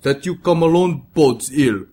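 A man voicing a gigantic, gentle Ethiopian swordsman in a story, measured and courtly. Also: on the high side, expressive, breathy edge. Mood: grave. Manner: measured, courtly old-world speech.

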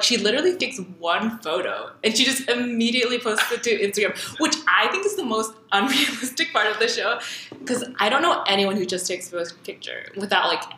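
A young woman co-hosting a casual TV recap, chatty and quick to laugh. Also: medium pitch, pushed and bright, slight background noise. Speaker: co-host. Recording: roomy echo.

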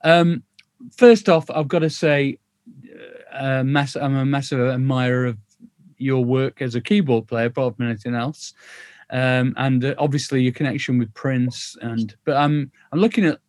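A man's voice: mid-range voice, fairly even intonation, darkish tone, no room sound. An older man in his sixties with a Northern English accent, hosting a chatty, rambling interview over a video call. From England. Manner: chatty, rambling, quick to laugh.

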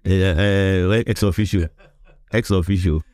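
A man with a Nigerian accent chatting as an interview guest, speaking haltingly and repeating phrases for emphasis. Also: low-pitched, fairly even intonation, a little mellow, clean tone, no echo. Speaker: podcast guest, veteran voiceover artist. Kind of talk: casual interview conversation.

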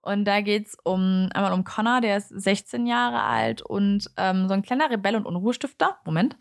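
A young woman who is a native German speaker talks through books in a casual, chatty review. Her voice sits at a medium pitch. She is informal and candid, correcting herself as she goes. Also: moderate pace, gravelly. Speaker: book reviewer.

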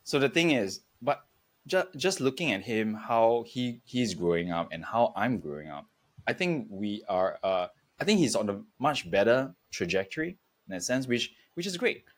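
A man speaking as an interview guest, reflecting in a halting way with pauses between short phrases. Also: medium pitch, lively intonation. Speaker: podcast guest, entrepreneur.

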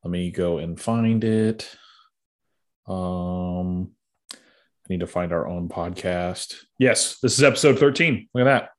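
A man's voice: low voice, measured pace. A man in his thirties co-hosting a casual podcast, talking loosely with lots of fillers and frequent laughter. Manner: rambling, laughs often, many fillers. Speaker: podcast co-host.

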